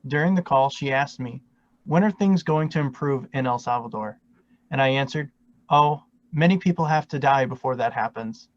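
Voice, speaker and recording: A man reading a martyr's first-person words aloud in a steady, earnest way. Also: mid-range voice, moderate pace, highs cut off.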